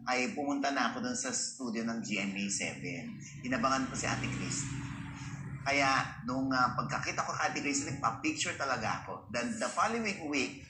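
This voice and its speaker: A man's voice: mid-range voice, animated, moderate pace, noisy background. A Filipino man in his fifties telling his life story conversationally as an interview guest, acting out remembered dialogue as he goes. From Philippines. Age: 50s. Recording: roomy echo with prominent music. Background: music.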